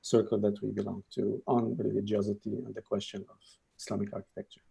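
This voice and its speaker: Gravelly, dark-voiced man on a panel. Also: on the low side, completely flat delivery, quick pace. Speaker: architect and panelist. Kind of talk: online panel discussion.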